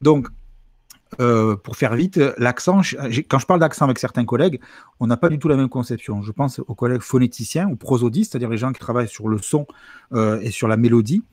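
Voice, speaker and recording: Guy talking fast over a video call, dry close sound, explains stuff with lots of asides. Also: mid-range voice, no room sound. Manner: lively, explanatory, fluent with asides. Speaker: university linguistics lecturer, guest. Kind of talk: explaining in a livestream interview.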